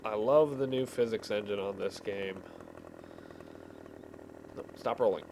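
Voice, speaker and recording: A man casually telling rambling stories while he plays a game, halting and easily distracted. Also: lowish voice. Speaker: gamer telling stories over gameplay. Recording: clear background vehicle engine noise. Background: game vehicle engine sounds.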